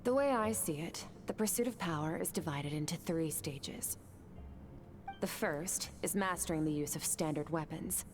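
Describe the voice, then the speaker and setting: An actress voicing a cool, aloof swordmaster mentor in a game, composed, measured and cryptic. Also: female voice, low voice, faint music behind, lightly acted.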